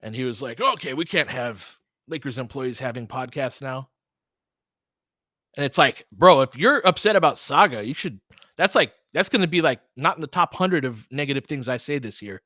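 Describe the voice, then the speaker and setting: A man's voice: medium pitch, animated, normal speed, sharp tone, no echo, clean studio sound. A man hosting casual game commentary, chatting candidly and rambling through stories and asides. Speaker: host doing audio play-by-play.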